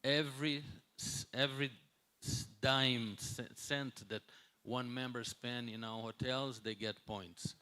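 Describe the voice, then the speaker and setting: A man in his sixties, a non-native English speaker, gives an unscripted conference talk as a hotel executive, often pausing and repeating words as he searches for the next one. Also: mid-range voice, steady tone, bright, forceful tone, smooth. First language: not English. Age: 60s.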